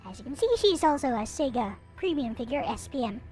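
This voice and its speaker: High-pitched woman in casual show-and-tell, music behind. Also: lively intonation, a little fast, a little mellow. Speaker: streamer showing collectible anime figures.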